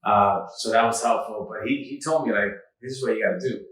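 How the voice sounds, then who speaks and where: A man who grew up in the Dominican Republic, hosting a podcast and telling stories about his past in an earnest, animated way. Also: on the low side, fast, recorded from a distance. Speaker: podcast host. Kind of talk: casual chat, storytelling. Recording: echoey room, slightly off mic.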